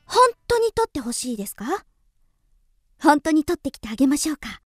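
A plucky young housemaid from the country, high and girlish. She is polite yet bold, and her radio drama delivery is highly animated, over faint music. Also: fairly quick, no room sound, clean studio sound. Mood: bold, confident.

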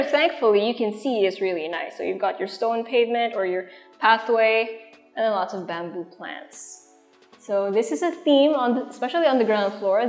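A young woman with a Filipino accent presenting a house tour in a casual, conversational way, walking through large empty rooms. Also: medium pitch. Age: young adult. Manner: chatty, conversational. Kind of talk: casual real estate walkthrough presentation. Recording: long reverb of an empty room. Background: music.

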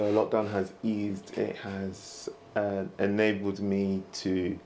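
Low, gravelly man, calm. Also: a little hiss or hum. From London. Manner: calm, reflective, soft-spoken. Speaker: woodturner, interviewee. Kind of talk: interview. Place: woodturning studio workshop.

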